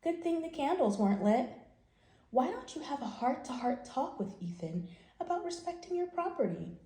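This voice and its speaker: A woman's voice: high voice, very animated, moderate pace, mellow, echoey room, pitched above the performer's own voice. A woman voicing a patient, reasonable father reading out his letters to his son in a children's storybook. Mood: reasoning.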